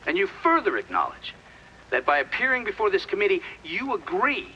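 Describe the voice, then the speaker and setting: Highish, lively-toned stern committee questioner. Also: male voice, muffled recording, a little hiss or hum, heavily acted. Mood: formal, stern. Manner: formal, deliberate, official. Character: stern male government committee questioner, formal and procedural. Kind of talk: video game hearing-room acting.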